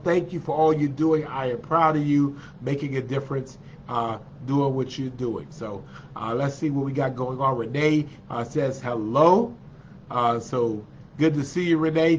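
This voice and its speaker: A man's voice: fairly high pitch, slight background noise. A man with a congested, slightly nasal voice, hosting a casual livestream and chatting with his viewers. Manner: chatty and encouraging, with many fillers. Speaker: livestream host. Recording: dull lo-fi livestream audio.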